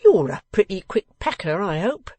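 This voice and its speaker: Woman putting on a loud, blustering, contemptuous older man. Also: low voice, very animated, unhurried, no echo, heavily acted. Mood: scornful. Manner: loud, mocking, emphatic. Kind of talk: dramatised audiobook reading.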